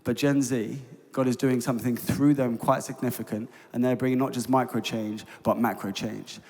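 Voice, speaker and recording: A man's voice: mid-range voice, steady tone, moderate pace, darkish tone. A man youth ministry leader giving a preacherly conference talk from a stage, building to emphatic peaks. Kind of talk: conference talk, then hosting a panel. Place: large concert hall on stage. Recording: large hall with long reverb.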